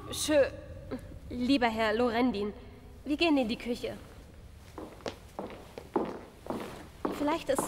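A woman's voice: a little high, fairly bright tone, slight background noise. A woman playing an eager, deferential lady's maid with flustered politeness in a comic fairy-tale radio play.

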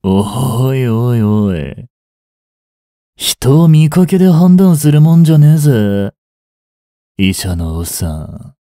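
Guy acting as a cocky gruff mafia boss in an audio drama, really dark mellow voice, slow drawl, secretly tender. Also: medium pitch, big pitch swings, no echo, clean studio sound. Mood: menacing. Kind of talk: intimate Japanese-style dramatic voice acting aimed at women listeners. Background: music.

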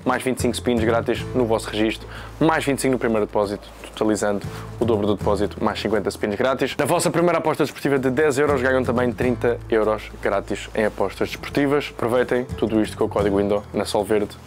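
A man with a European Portuguese accent briskly delivering a sponsor read. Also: lowish voice, soft background music. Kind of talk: read advertisement.

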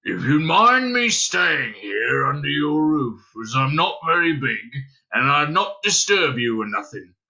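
Man voicing a small hairy creature, rough and folksy. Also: a little high, very animated, a little slow, bright, forceful tone, dull audio, full character voice. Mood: apologetic, pleading. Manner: rambling, folksy rustic phrasing. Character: small hairy creature, humble, chatty, apologetic lodger.

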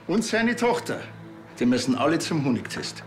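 An actor playing a blunt, unflappable Bavarian policeman in a comedy series, deadpan and laconic with a Bavarian accent. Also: fairly high pitch, normal speed, faint music behind. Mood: matter-of-fact. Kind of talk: naturalistic comedic screen acting.

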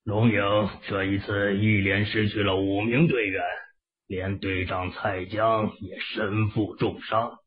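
A man's voice: fairly low pitch, little pitch movement, unhurried, lo-fi sound, a little hiss or hum, close to an everyday voice. A man voicing a hearty, crafty elderly military leader in an audio novel. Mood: grave.

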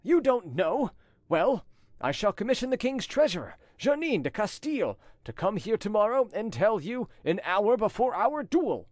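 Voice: medium pitch, sing-song, moderate pace, a little mellow, no echo, studio quality, pitched above the performer's own voice, lightly acted. A narrator voicing a jealous, haughty nobleman of old France in a declamatory, sneering, theatrical way for a storybook. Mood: cold threatening.

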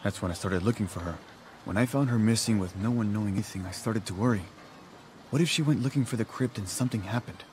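A man's voice: fairly low pitch, fast talker, darkish tone, slight background noise, close to an everyday voice. An actor playing a worried, earnest field researcher in his thirties in a video game cutscene. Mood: worried, regretful.

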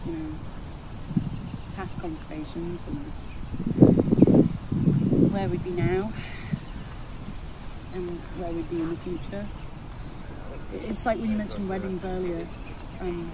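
A woman's voice: fairly low pitch, fairly even intonation, slow pace, long pauses. A young woman acting a hesitant, grieving daughter in a naturalistic audio drama, searching for words. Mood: sad, reflective. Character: grieving young woman who lost her mother years ago. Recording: noisy outdoor urban ambience.

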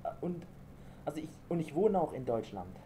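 A man's voice: on the high side, very animated, normal speed, dark tone, a little hiss or hum. A man around twenty-one chatting with strangers on a video call, slangy, teasing and playful. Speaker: video chat vlogger. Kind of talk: casual joking chat with strangers. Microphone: webcam or computer mic on a video call.

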